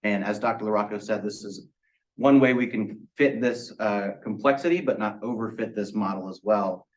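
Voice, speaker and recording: A man with a fairly low voice walks through a technical presentation. He explains methodically, one step after another, and sounds set back from the microphone. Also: normal speed. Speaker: student presenter. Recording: distant microphone pickup.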